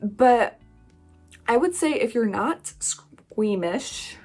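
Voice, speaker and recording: Higher voiced woman doing a chatty book review, takes her time, lots of emphatic little asides. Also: lively intonation, extremely slow, darkish tone, soft background music. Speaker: book reviewer and channel host. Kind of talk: casual chatty video monologue.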